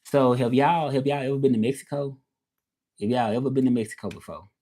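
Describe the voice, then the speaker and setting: A man's voice: mid-range voice, fairly even intonation, fairly quick, mellow. Rambling Southern US man chatting live. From Southern United States. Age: 30s. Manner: rambling, repeats himself, reads out and answers chat. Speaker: livestream host. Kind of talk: casual livestream chat with viewers.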